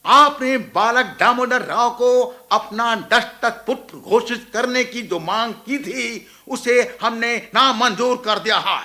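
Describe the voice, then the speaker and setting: A stiff colonial British major in a radio drama, pitched very high and bright. He delivers his words slowly and formally, like an official proclamation. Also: full character voice. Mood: stern, official. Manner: formal, slow proclamation-like reading. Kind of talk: radio play acting.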